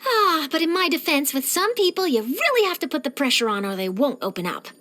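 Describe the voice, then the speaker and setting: A young woman voicing an eager, curious, cheerful young reporter in a video game, with a chatty, expressive delivery. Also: high voice, fairly quick, full character voice. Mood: slightly sheepish. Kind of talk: scripted video game character voice lines.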